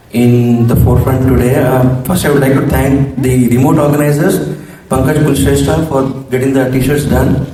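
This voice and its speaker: A man in his forties from coastal Andhra Pradesh with an Indian English accent, slightly hoarse, giving a hesitant formal vote of thanks at an alumni reunion. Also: mid-range voice, dark tone. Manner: hesitant, many ums and restarts. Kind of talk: formal public speech with pauses. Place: large hall. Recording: echoey hall mic from far off.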